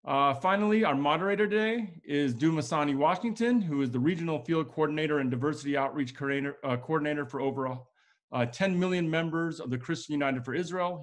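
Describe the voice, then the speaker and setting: A man's voice: on the high side, fast talker. A man in his thirties hosting a webinar on a video call, reading out guest introductions in a scripted, upbeat way. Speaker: host introducing panel. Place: home room with plants.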